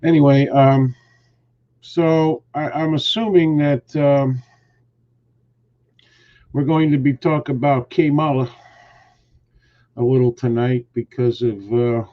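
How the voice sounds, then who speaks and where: A man's voice: medium pitch, steady tone, slow pace, long pauses, muffled recording. A man hosting a casual live stream, greeting viewers and teasing his co-hosts in a joking, rambling way. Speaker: host.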